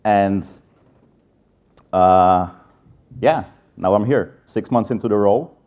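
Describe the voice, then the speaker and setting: A man's voice: on the low side, slow, darkish tone. A man in his thirties with a Czech accent, giving a candid, self-deprecating conference talk with frequent filler sounds. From Czech Republic. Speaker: conference speaker. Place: conference stage.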